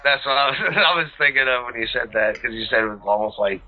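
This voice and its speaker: A man with a mid-pitched voice chats casually and loosely. He trails off, restarts and hunts for his words. The recording sounds dull and lo-fi. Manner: halting, searching for words, many fillers and restarts. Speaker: podcast co-host. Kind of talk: casual chat.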